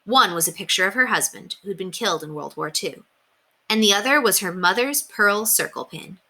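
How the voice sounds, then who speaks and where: A woman narrating a children's book aloud in an expressive read-aloud style. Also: mid-range voice, moderate pace. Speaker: storyteller reading aloud to children.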